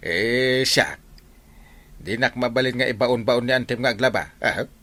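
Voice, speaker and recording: A man's voice: mid-range voice, measured pace, bright, forceful tone. A man playing a jolly, boastful village uncle in an Ilocano Filipino comedy drama. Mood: mocking, boastful.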